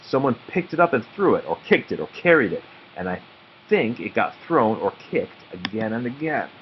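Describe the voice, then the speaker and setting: A man voicing an earnest, deadpan boy detective in a children's storybook read-aloud. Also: medium pitch, moderate pace, highs cut off, a little hiss or hum, lightly acted. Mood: earnest, assured.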